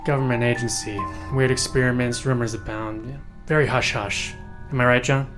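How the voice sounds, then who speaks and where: An actor plays a middle-aged man with secret gifts in an audio drama, music behind him. He is calm and knowing, speaking at a middling pitch in a dry, measured way. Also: lightly acted. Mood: probing.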